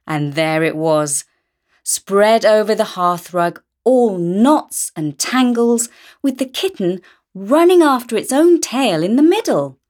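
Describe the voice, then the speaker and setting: A woman's voice: mid-range voice, lively intonation, slow, darkish tone. A woman reading a children's story aloud as scripted narration. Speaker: audiobook reader.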